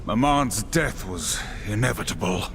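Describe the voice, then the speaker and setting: An actor voicing a stern, proud, battle-hungry warrior in a video game, terse, solemn and resolute. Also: male voice, lowish voice, animated, unhurried, a bit bright, a little hiss or hum. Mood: grim, terse. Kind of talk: cinematic video game acting.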